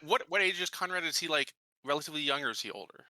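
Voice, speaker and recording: Man, casual game discussion, hesitant, very bright edgy tone, dry close sound. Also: fairly high pitch, animated, racing, no echo. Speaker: tabletop roleplaying player.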